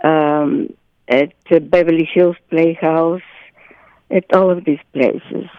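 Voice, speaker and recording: A woman actress talks animatedly as an interview guest, her voice carrying a gravelly edge. She often stops and restarts her phrases. The sound is dry and a bit muffled. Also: sits low, steady tone, takes it slowly, no room sound. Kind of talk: interview chat.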